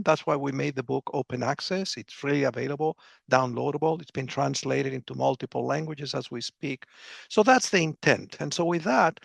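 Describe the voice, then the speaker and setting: Guest author, man, very gravelly voice, dry close sound, earnest explainer. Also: medium pitch, dry recording. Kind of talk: explanatory podcast talk.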